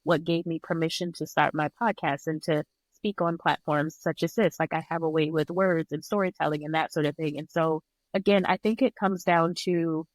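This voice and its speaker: A woman's voice: sits low, dry recording, rough recording. A woman speaking as an interview guest, reflective and conversational, with an earnest, encouraging way of talking. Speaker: podcast guest, breathwork and yoga instructor.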